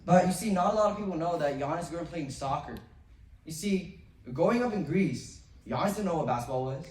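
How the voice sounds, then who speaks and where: 7th grade boy giving a school talk, kinda higher voice, sounds far off in a big echoey room. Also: lively intonation, a little mellow. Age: young teen, 7th grade middle school student. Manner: earnest, with pauses, some restarts. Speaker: student speaker. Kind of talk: memorized school speech to an assembly. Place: school assembly hall. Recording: roomy, distant from the mic.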